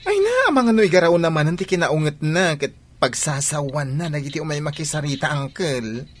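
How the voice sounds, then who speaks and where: A woman's voice: deep voice, big pitch swings, moderate pace. A woman playing a stubborn young sweetheart in an Ilocano-accented radio drama. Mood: worried. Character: stubborn, teasing young sweetheart planning to marry.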